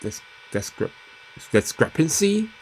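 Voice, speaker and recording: A man's voice: on the high side, big pitch swings, slow, slight background noise, low-quality recording. A male streamer reading a visual novel aloud expressively, mixing narration with casual, playful reactions. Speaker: let's play streamer. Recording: music playing behind the voice.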